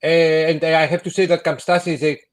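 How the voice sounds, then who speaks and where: Halting, fairly high-voiced man. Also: level tone. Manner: halting, searching for words. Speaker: webinar moderator. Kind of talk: webinar discussion.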